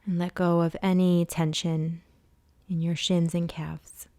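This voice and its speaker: A woman leading a guided body scan meditation as a teacher, speaking in a soothing, hushed way with long pauses. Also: lowish voice, flat delivery, dry recording.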